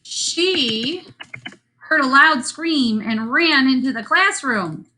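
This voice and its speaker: Woman, elementary teacher, bright higher voice, thinking aloud through a story lesson, playful asides. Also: expressive, slow, poor audio. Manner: addresses children, playful asides.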